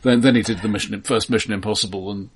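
A middle-aged man with a low, rough-edged voice talks casually as a film podcast host. He explains things analytically, in a halting flow, often doubling back on his words as he goes. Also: fairly even intonation. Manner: halting, repeats words as he thinks.

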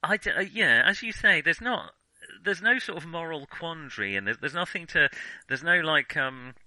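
A man co-hosting a casual film discussion, halting and hedging as he restarts his sentences mid-thought. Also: on the high side, lively intonation, bright, forceful tone, no echo, rough recording. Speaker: podcast co-host.

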